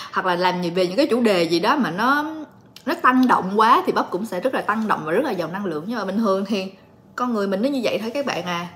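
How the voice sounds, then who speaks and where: A woman in her late twenties chatting calmly and directly to viewers in a casual home vlog. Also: mid-range voice. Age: late 20s. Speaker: vlogger and story reader. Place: living room at home.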